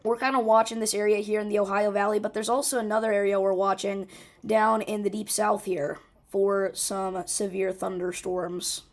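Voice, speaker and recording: A woman hosting a live severe weather stream, her voice hoarse and rough, talking urgently and informally with many fillers and restarts. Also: medium pitch, little pitch movement, moderate pace. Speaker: severe weather livestream host.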